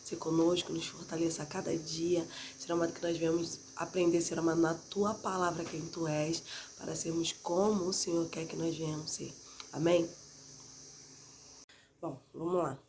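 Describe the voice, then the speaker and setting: A woman teaching a Bible class, stuffy and slightly hoarse from a cold, with a nasal tone, talking conversationally and often adding "né?" at the end of her sentences. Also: low-pitched, fairly even intonation, moderate pace, a little mellow, a little hiss or hum. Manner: conversational, frequently adds "né?" tag questions.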